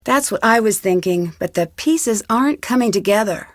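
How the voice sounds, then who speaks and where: A woman's voice: mid-range voice, expressive, normal speed, no room sound, clean studio sound, close to an everyday voice. An actress playing an inquisitive, polite young woman detective in a video game, speaking in an earnest, questioning way. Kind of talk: video game dialogue acting.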